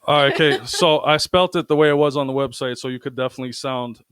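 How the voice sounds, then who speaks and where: A man's voice: medium pitch, fairly even intonation, fast, a bit bright. A man hosting a casual comedy podcast, bantering playfully and swearing freely. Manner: playful, profane. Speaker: podcast host.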